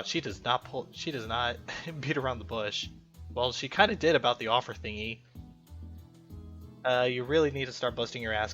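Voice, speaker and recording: A man's voice: medium pitch, sharp tone. A man doing casual, jokey let's play commentary, reacting aloud to a game and reading its text. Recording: muffled lo-fi mic, music behind.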